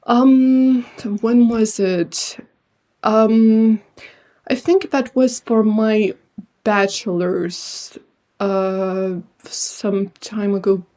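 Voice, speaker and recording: A young woman from Lithuania, a translator, answering interview questions thoughtfully with frequent pauses and fillers. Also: mid-range voice, fairly even intonation, warm tone. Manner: thoughtful, hesitant with many fillers. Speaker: translator and writer, guest.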